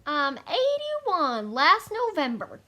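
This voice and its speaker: A woman's voice: very high voice, wildly swooping pitch, takes it slowly, bright, forceful tone, heavily acted. A woman with an American accent playing a spry, feisty old small-town woman of eighty-one in an amateur play. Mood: feisty, proud. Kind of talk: amateur stage-play acting.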